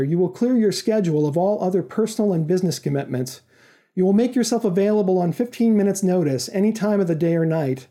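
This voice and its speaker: Story villain's agent, read by a man: stern, formal, precise, high male voice, very soft-toned. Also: lightly acted. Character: commanding, secretive male intermediary making a job offer. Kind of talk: audiobook-like story reading.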